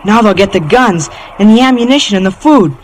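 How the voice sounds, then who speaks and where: A man's voice: sits high, expressive, fairly quick, soft background music, low-quality recording. A young male actor playing an earnest, polite boy adventurer in a 1950s radio drama. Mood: urgent, reassuring. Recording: old radio, faint background noise.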